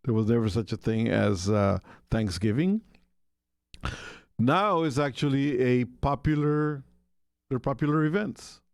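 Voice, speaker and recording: A man from Costa Rica hosts a relaxed radio chat, his voice carrying a gravelly rasp. He rambles along with frequent fillers and restarts. The sound is dry and close, with no room around it. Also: medium pitch, unhurried, no room sound. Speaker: radio show host. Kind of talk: casual radio talk.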